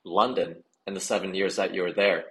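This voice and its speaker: Low-voiced guy hosting a podcast, curious, kinda rambly questions. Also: fairly even intonation, brisk, a bit bright. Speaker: podcast host. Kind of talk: casual interview questions.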